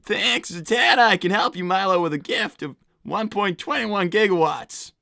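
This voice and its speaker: A performer voicing an excitable young fox boy, a flustered older brother who stammers and argues on an in-character gaming livestream. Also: mid-range voice, moderate pace, gravelly, no room sound, studio quality. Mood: defensive, explaining. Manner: stammering, exasperated, argumentative.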